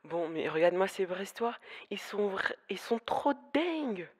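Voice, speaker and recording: A woman's voice: mid-range voice, very animated, measured pace, slightly rough. A woman playing a lazy, dismissive local police officer in an amateur audio drama. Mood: fed up, dismissive.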